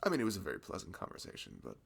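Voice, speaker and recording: A man's voice: deep voice, huge pitch swings, rapid-fire, gravelly, husky, full character voice. A man playing a sweet, chatty young florist with a crush in an audio roleplay, talking in a flustered, self-deprecating ramble. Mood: nervous, earnest. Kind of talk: casual ASMR-style audio roleplay spoken to the listener.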